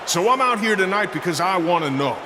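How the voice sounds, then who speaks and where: Confident veteran wrestler, swooping high voice. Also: male voice, expressive, fairly quick. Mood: challenging. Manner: theatrical, repetitive build-up. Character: confident veteran male star wrestler, challenging. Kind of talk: in-ring wrestling promo. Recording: crowd and noise in arena. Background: arena crowd.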